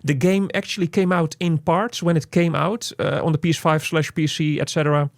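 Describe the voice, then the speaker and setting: A man co-hosting a casual game review, talking in an animated, rambling way with lots of "you know". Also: fairly high pitch, brisk, creaky, no echo, clean studio sound.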